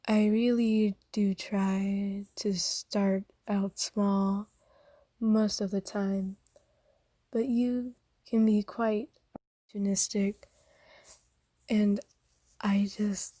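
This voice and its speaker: A doting aristocratic vampire mistress, played by a woman for an ASMR roleplay. She speaks softly, often in a half whisper, at a low-key, unhurried pace with very little rise and fall. Her tone is dark and velvety, gently formal and coaxing, recorded close and dry. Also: breathy edge, no room sound. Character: kind, doting aristocratic female vampire owner.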